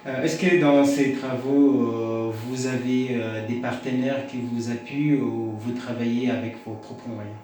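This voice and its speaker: A man hosting an interview, asking questions in a halting, hesitant way. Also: medium pitch, steady tone, mellow. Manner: halting, hesitant phrasing with many drawn-out pauses. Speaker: interviewer, show host. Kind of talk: radio-style interview questions. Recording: very echoey room, distant mic, music behind.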